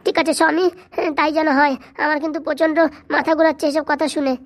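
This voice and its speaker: Woman voicing a very high-pitched anxious cartoon wife. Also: level tone, fast. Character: anxious, nagging young village wife. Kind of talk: dubbed comedy cartoon dialogue.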